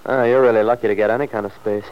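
Actor as hesitant husband, muffled vintage radio soap. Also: male voice, fairly low pitch, fairly even intonation, racing, clean tone, lightly acted. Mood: concerned, gentle. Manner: hesitant, awkward, solicitous. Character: earnest, apologetic married man, an army sergeant. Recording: dull, noisy old radio recording.

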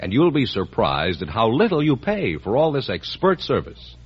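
A man's voice: huge pitch swings, normal speed. Persuasive man reading an old radio ad. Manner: smooth persuasive sales pitch. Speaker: commercial announcer. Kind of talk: 1950s radio commercial. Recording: dull old radio broadcast.

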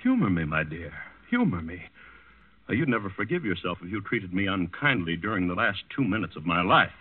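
Fearful agitated nobleman, stammering, swooping pitch, old radio drama, muffled narrow-band recording. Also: very animated, a little fast, husky, full character voice. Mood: bitter goading. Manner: stammering, agitated, repeats himself. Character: nervous, agitated Danish count, haughty and morbidly fearful. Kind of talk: 1940s radio drama acting.

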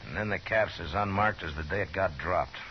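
An actor playing a steady, shrewd frontier lawman in a 1950s radio Western, measured and matter-of-fact. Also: low voice, a little hiss or hum, lightly acted. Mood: explaining. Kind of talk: 1950s radio drama acting with narration. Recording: dull vintage 1950s radio recording with music.

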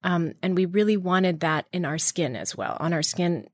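A woman's voice: fairly low pitch, moderate pace, dry recording, studio quality. A middle-aged woman from Iran, founder of a beauty brand, answering interview questions with eager enthusiasm and many restarts.

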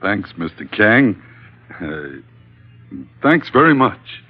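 An actor playing a plain-spoken, awkward ship's chief mate with a husky voice, in 1940s radio style. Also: male voice, on the low side, very animated, slow pace, faint music behind, heavily acted. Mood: awkward, respectful. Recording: muffled old radio recording.

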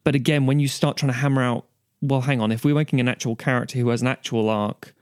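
A man's voice: mid-range voice, steady tone, fast talker, darkish tone, clean tone, no echo, clean studio sound. A man in a casual podcast discussion, giving opinions emphatically and voicing imagined quotes as he argues. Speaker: podcast panelist.

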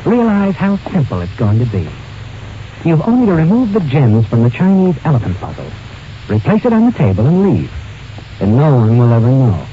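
An actor playing a knowing, taunting suspense narrator in an old radio drama, speaking deliberately in the second person. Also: male voice, medium pitch, sing-song, a little hiss or hum, natural voice. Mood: sly, suspenseful. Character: knowing, insinuating male storyteller addressing the culprit. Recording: dull old radio recording with music.

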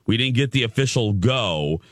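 A man's voice: medium pitch, expressive, no echo, studio quality. A man hosting a morning radio show with a slight Midwestern American accent, bantering and reading promos. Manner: upbeat, quick reads of time and promos.

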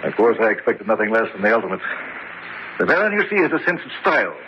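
An actor playing a pompous, suave and self-admiring gentleman adventurer in a florid, theatrical comic horror radio drama style. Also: on the low side, very animated, fast. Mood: boastful admiration. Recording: muffled, noisy old radio drama recording.

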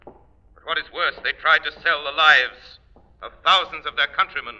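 An actor playing a stern, mysterious crime fighter, commanding and solemn in old radio drama style. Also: male voice, fairly high pitch, moderate pace, pushed and bright. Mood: accusing. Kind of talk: 1930s-40s radio drama acting. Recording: muffled vintage radio recording.

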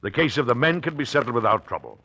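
An older president dad on old radio, crisp bright voice w some gravel, formal n dryly patient, muffled recording. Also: male voice, medium pitch, lively intonation, quick pace, slight background noise. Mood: firm, dignified. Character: President, exasperated but dignified and unruffled older father. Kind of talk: old-time radio drama acting.

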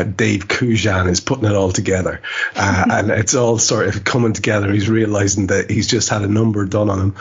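Husky, low-voiced man hosting a podcast. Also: lo-fi sound. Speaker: podcast host. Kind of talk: casual football podcast chat.

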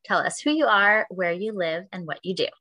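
A woman hosting a podcast, reading a scripted introduction in a clear, measured way before interviewing her guest. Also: medium pitch, lively intonation, bright, forceful tone. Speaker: podcast host.

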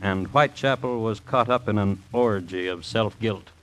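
A man's voice: fairly low pitch, moderate pace, fairly bright tone, a little hiss or hum, natural voice. A man playing the grave, melodramatic narrator of an old-time radio murder thriller. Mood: grave, dramatic. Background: music.

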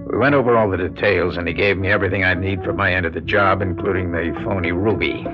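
A man's voice: deep voice, steady tone, fast talker, over loud music, natural voice. An actor playing a wary, world-weary con man in a radio drama, with a wry, street-wise way of talking. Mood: tense narration. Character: wary, world-weary male con man narrating his own crime. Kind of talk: classic radio drama acting with first-person narration. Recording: dull lo-fi radio drama recording.

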